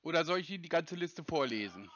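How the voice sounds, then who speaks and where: Scam-baiter, male, dry and stubborn, lively pitch, muffled phone audio. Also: fast talker, a little hiss or hum. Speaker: scam-baiter taking a call. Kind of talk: phone prank call.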